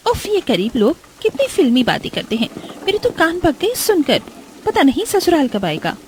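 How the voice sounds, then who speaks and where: A high-voiced, snobbish young bride from a cartoon story, performed by a woman storyteller. She is irritable and spoiled, whining her complaints with English words sprinkled in. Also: sing-song, moderate pace, a little hiss or hum. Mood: scornful.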